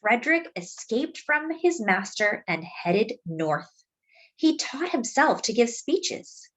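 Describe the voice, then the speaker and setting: A woman reads a picture book aloud expressively, explaining things simply and deliberately as if to young children. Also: fairly high pitch, big pitch swings, a bit bright, low-quality recording. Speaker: children's storybook reader.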